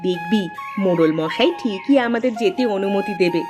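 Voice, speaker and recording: A woman's voice: medium pitch, normal speed, music in the background, close to an everyday voice. A woman playing a knowing, chatty village woman in a cartoon, explaining things to a friend. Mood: informative, reassuring. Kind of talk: cartoon dialogue acting.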